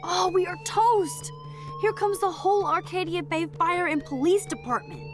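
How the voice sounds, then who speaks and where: A woman's voice: very high voice, normal speed. Wry, nerdy American teenage girl. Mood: urgent, excited. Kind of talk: video game voice acting. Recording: music behind the voice.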